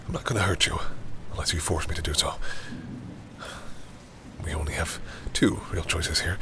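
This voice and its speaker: A very low-voiced male vampire, acted by a man in a dramatic audio roleplay, speaks with haughty, deliberate command that often sinks into hushed brooding. He is menacing yet reluctantly caring toward mere humans, and there is clear noise behind him. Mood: negotiating, apologetic.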